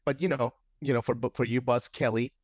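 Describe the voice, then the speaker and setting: A man's voice: mid-range voice, steady tone, fairly quick, dry recording, studio quality. A man hosting a podcast interview, asking long, rambling questions full of fillers and restarts. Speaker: podcast host. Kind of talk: casual interview chat.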